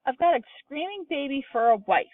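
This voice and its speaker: A woman reading aloud, voicing an excitable old grandfather who yells and exclaims. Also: high voice, moderate pace, dry recording, pitched above the performer's own voice. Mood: upset. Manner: yelling, excitable. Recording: distant tinny phone-like sound.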